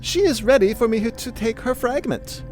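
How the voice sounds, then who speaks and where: An actor playing a gleeful, wicked puppet-master spirit in a fantasy audio drama, with mocking, florid, drawn-out phrasing. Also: medium pitch, expressive, darkish tone, faint music behind, close to an everyday voice. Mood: smug, mocking.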